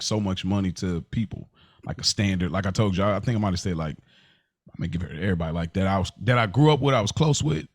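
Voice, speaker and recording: A man co-hosting a podcast in casual chat, thinking his answers through out loud. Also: lowish voice, dry recording. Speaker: podcast co-host.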